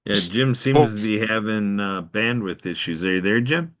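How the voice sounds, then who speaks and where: A man's voice: lowish voice, moderate pace. A man co-hosting a live stream in an easygoing, laughing way. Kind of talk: casual live-stream chat.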